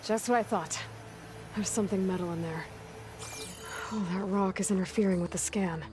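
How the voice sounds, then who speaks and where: A woman's voice: mid-range voice, steady tone, moderate pace, noisy background, natural voice. A woman playing a resolute, observant young outlander huntress in a video game, wry and self-possessed. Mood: musing, curious. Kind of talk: video game cutscene acting.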